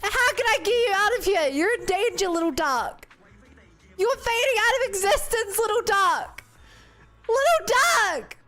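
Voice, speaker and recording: A woman's voice: very high voice, expressive, a little slow, pushed and bright, faint music behind. A woman from New Zealand with a New Zealand accent, chatting casually and reacting as she livestreams a game.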